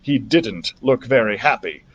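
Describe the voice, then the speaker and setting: A man reading a children's adventure story aloud as a library storyteller, in a theatrical, expressive manner. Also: medium pitch, measured pace, slightly rough, highs cut off.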